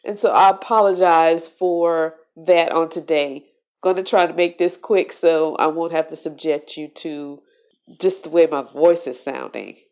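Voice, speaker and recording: A woman hosting a solo podcast, sounding congested and nasal from allergies, talking in a slow, reflective way. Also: low-pitched, darkish tone, highs cut off. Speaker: podcast host.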